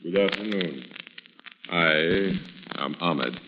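An actor playing a suave, menacing fortune teller with formal, commanding speech in an old radio drama. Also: male voice, sits low, drawn-out words. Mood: suave, guarded. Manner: formal, smooth-spoken, commanding. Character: sinister suave male fortune teller, menacing and falsely courteous. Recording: muffled old radio recording, hissy, reverberant.

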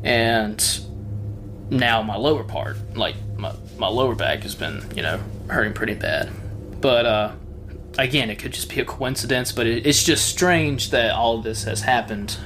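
A man co-hosting a podcast, rambling in casual chat with lots of fillers, his voice a little hoarse. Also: mid-range voice, lively intonation, unhurried, a bit bright, faint music behind. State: voice slightly hoarse. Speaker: podcast co-host.

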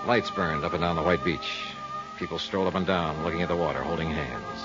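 A man plays a hard-boiled insurance investigator in 1950s radio noir, with a very low voice. He is terse, weary and wry. The old recording is muffled, with music. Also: fairly quick, close to an everyday voice. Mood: brooding, wry. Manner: terse, dry, wry tough-guy delivery. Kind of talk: 1950s radio noir acting and first-person narration.

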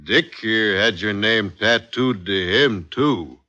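An actor in a 1950s radio drama playing a slow, drunken, murderous sailor with a terse, brooding drawl. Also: male voice, lowish voice, pushed and bright, no room sound. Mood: flat. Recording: dull, noisy old radio recording.

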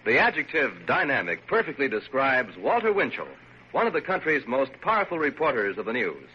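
A man's voice: medium pitch, wildly swooping pitch, fairly quick, lo-fi sound. An American man announcing in an old-time radio promotional style, emphatic and polished. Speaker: network announcer. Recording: noisy, band-limited old radio recording.

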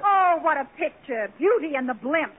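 Haughty high woman on old radio. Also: lively intonation, normal speed. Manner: haughty, mock-indignant. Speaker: comedy guest. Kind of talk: 1940s radio comedy. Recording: old radio broadcast, tinny and noisy.

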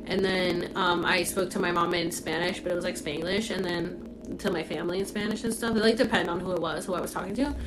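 A woman's voice: fairly low pitch, steady tone, fast talker, noisy background. A young woman from Puerto Rico, raised in Florida, hosting a casual livestream and answering viewers' comments. She talks bluntly and candidly, swears freely, and fills her talk with "like," speaking into a phone. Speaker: livestream host.

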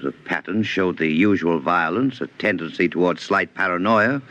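A grave male psychologist in a 1950s radio play. His voice sits fairly low and he pronounces judgments with measured authority. The recording is old and muffled. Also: a bit bright. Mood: clinical, grave. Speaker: radio actor. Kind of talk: 1950s radio drama acting.

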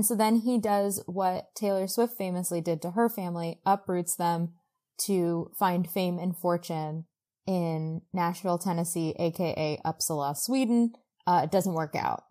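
A woman co-hosting a podcast, recapping a book in casual, exclamatory chat peppered with "like". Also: mid-range voice. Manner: animated, exclamatory, heavy use of "like". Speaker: podcast co-host.

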